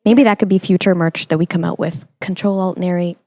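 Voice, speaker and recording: A woman's voice: fairly low pitch, steady tone, brisk, a little mellow, no room sound. A young woman hosting a podcast, chatting casually with an encouraging, affirming manner. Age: young adult. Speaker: podcast host. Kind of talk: casual interview chat.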